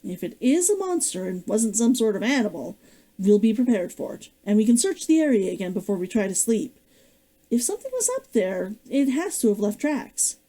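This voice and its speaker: A woman's voice: medium pitch, sing-song, moderate pace, dark tone, poor audio. A woman reading as a practical young man. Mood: steady.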